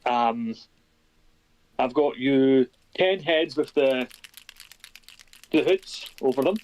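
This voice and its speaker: A man's voice: medium pitch, a little mellow, poor audio. Easygoing Scottish man. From Scotland. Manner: easygoing, reads out a list with pauses. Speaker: stream guest on voice chat. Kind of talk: casual chat.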